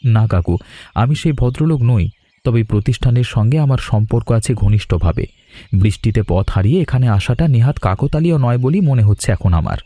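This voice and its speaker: A man voicing a courteous, reassuring young traveller in a cartoon, speaking politely and earnestly in measured phrases. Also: medium pitch, lively intonation, dark tone, dry recording, lightly acted. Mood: polite, serious. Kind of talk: cartoon drama dialogue. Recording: music under the voice.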